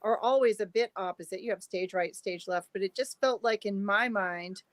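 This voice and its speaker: A woman's voice: mid-range voice, moderate pace, no echo. A woman from community theatre speaking informally in a panel discussion held over a video call.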